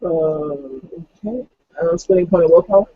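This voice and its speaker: A man's voice: on the high side, normal speed, no echo. A man playing a tabletop role-playing game, casually and somewhat hesitantly describing his character's actions on a lo-fi microphone. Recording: close, dull lo-fi microphone.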